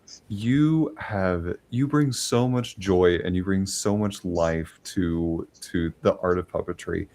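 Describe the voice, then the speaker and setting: Lower-voiced male host losing his voice. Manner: warmly grateful, halting with fillers. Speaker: podcast host. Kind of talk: podcast outro.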